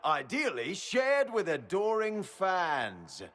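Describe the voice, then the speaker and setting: High, flamboyant minstrel man. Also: wildly swooping pitch, slow, fairly bright tone, faint music behind, exaggerated voice. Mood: showy. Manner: theatrical, pompous. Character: flamboyant, self-adoring male minstrel. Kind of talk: video game voice acting.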